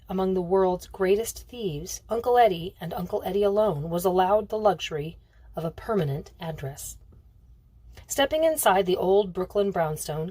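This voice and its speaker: A woman reading a novel aloud as expressive narration. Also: on the low side, no room sound. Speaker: reader of a novel.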